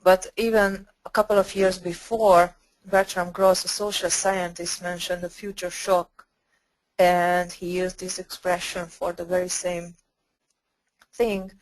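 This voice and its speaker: A woman walks through slides in a webinar. Her voice sits a little low and stays flat in pitch. She often repeats a word before going on. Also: unhurried, rough recording. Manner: repeats words while finding phrasing. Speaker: presenter.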